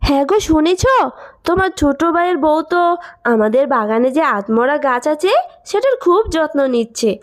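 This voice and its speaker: A woman's voice: high-pitched, animated, normal speed. A woman voicing a greedy, scornful village sister-in-law in a cartoon moral story, brusque and scolding. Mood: mocking. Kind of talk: animated moral story acting.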